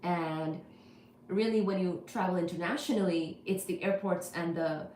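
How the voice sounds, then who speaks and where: Livestream host, woman from India, relaxed filler-heavy chat, roomy sound with faint background noise. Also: on the low side, normal speed. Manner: chatty, practical, many fillers like "you know" and "kinda". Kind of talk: casual livestream chat answering viewers.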